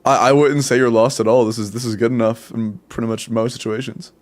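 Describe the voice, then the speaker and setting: A man's voice: mid-range voice, a little fast. Young male streamer, congested, easygoing running commentary. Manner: relaxed, chatty, many fillers and laughs. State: stuffy nose. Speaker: streamer giving account advice. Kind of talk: casual live-stream commentary.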